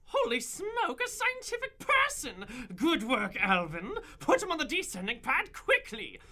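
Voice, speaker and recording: A woman playing an excitable, bossy mad scientist in a comic sci-fi radio play, theatrical and gloating. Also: high-pitched, measured pace, full character voice. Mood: excited, bossy.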